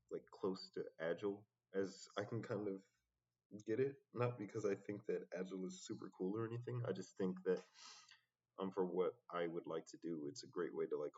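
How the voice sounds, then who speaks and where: Very deep-voiced man in a vlog. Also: darkish tone, slightly rough. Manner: halting, pausing often mid-sentence. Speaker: rapper vlogging his progress. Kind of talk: casual reflective solo vlog. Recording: dull, muffled lo-fi sound.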